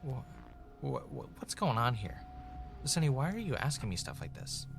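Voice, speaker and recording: An actor plays a man who is worn out and on edge, speaking in a natural audio-drama register at a middling pitch. He is skeptical and halting, prone to stammer, with faint music underneath. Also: huge pitch swings, creaky. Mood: panicked, confused. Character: Ray, sleep-deprived, skeptical, rattled former reality show contestant.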